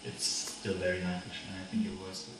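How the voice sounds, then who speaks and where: Male interviewer, German accent, lowish voice, hesitant phrasing. Heavily roomy sound with faint noise. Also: monotone, fairly quick. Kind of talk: casual interview questions. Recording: very echoey room, distant mic, faint noise.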